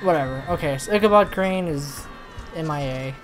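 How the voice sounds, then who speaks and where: A man doing casual let's-play commentary, thinking aloud in a rambling way, with a slightly hoarse voice. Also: on the high side, normal speed. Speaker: host of a gaming channel. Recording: noisy recording with background ambience.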